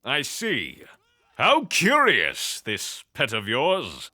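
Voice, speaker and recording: A haughty god of war with a bright, forceful voice. His pitch swoops wildly and he stretches his words with pompous theatrical relish, as in a fantasy audio drama. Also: wildly swooping pitch, very slow, full character voice. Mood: haughty, amused. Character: flamboyant, haughty god of war, doting on pets.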